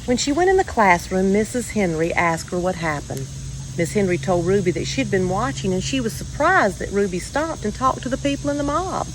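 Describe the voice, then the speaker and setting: A woman reading a children's story aloud in a measured, expressive way with deliberate pauses. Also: medium pitch, noisy background. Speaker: storybook reader.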